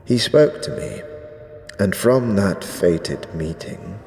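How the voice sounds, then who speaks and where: A man playing an ancient, zealous skeleton high priest in a fantasy audio drama, speaking gravely in archaic, ceremonious phrases. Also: animated, a little mellow, soft background music, full character voice. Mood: solemn, proud. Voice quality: deep, drawn-out delivery. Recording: echoey reverberant hall, faint noise.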